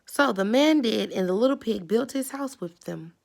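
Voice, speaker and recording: Creaky-voiced woman reading a storybook aloud. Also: fairly high pitch, expressive, normal speed, darkish tone. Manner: instructive, explains pictures and words simply. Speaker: storybook reader. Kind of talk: children's read-aloud with explanatory asides.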